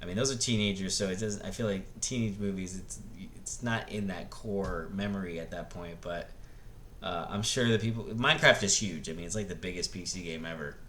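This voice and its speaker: Low-voiced man rambling bluntly over noise. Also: pushed and bright. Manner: blunt, profane, halting with repeated false starts. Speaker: host of a movie review vlog. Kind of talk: casual, rambling opinion talk.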